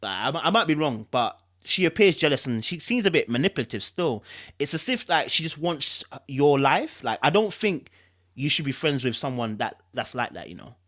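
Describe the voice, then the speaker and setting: A man's voice: fairly high pitch, very animated, normal speed, a bit bright. Hesitant, insinuating controlling husband, acted by a man. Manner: hesitant, stammering, insistent. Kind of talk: dramatic audiobook-style reading.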